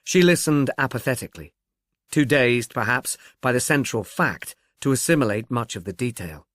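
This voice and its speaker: A man reading scripted literary narration as an audiobook narrator, in a measured, articulate storytelling manner. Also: mid-range voice, lively intonation, a bit bright, no echo, studio quality.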